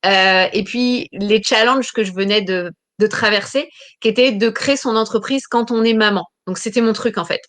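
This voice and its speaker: 30s woman coach chatting, mid pitch, trips over her words a lot. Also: moderate pace, fairly bright tone. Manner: hesitant, many fillers and restarts. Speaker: business coach, guest. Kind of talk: interview answers.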